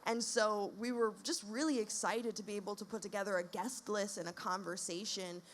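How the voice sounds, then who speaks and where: A woman with a mid-range voice speaking on a panel. She is reflective and explains her ideas at length, in a steady conversational flow. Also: medium pitch, a little fast. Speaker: podcast co-host on a panel. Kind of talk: panel discussion.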